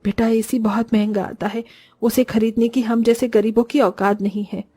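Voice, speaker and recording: A woman playing a kindly, poor village grandmother who explains gently and patiently in a cartoon story. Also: a little high, flat delivery, moderate pace, breathy edge, soft background music.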